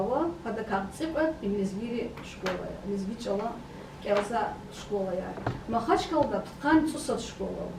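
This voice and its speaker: A woman researcher giving a round-table report, halting often with fillers and restarts. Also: medium pitch, noisy background. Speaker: education researcher. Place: meeting room. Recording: roomy hall from far off.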